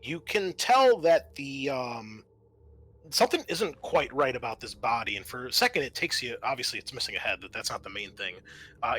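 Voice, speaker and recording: A man acting as game master, describing scenes in a conversational, improvised, halting way for a tabletop roleplaying game. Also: medium pitch, sharp tone, slight background noise, rough recording.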